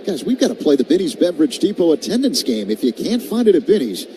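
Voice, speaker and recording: A man's voice: a little high, big pitch swings, fast. Man calling baseball over background noise. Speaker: baseball play-by-play announcer. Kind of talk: live radio sports commentary.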